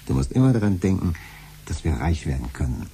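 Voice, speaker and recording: An actor playing a greedy, persuasive husband in a 1970s radio play, pushy and dismissive. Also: male voice, deep voice, very animated, brisk, mellow, a little hiss or hum. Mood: scheming, persuasive. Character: greedy, persuasive husband scheming to get rich.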